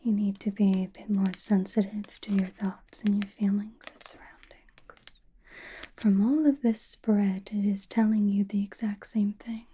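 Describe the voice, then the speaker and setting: A woman playing a calm, knowing, teasing sorceress who reads tarot in an ASMR roleplay, with a breathy, soothing whisper and deliberate delivery. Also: mid-range voice, slight background noise, natural voice. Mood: gentle, knowing. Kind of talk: ASMR roleplay, personal attention.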